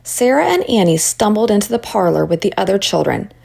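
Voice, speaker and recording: A woman reads a storybook aloud for children. Her voice sits at a middle pitch with noticeable creak in it, and she narrates expressively. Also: very animated, a little fast, a little mellow.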